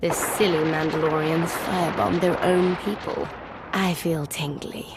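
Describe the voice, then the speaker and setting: An actress playing a gleefully sadistic game villainess who sounds teasing and sweet while being cruel. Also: female voice, low-pitched, steady tone, a little slow, noisy background. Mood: gleeful, taunting. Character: playful, sadistic villainess, gleeful about destruction.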